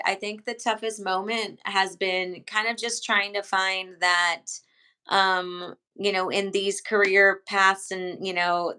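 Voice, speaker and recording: A woman's voice: medium pitch, pushed and bright, clean tone. A woman coach from San Antonio answering interview questions in an earnest, rambling way, with many ums and restarts. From San Antonio, Texas. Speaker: head coach, interview guest.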